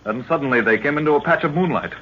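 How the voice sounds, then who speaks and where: An actor playing an earnest young adventurer, a professor's assistant, in an old-time radio drama. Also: male voice, on the high side, animated, racing, slightly rough. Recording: dull, noisy vintage radio recording.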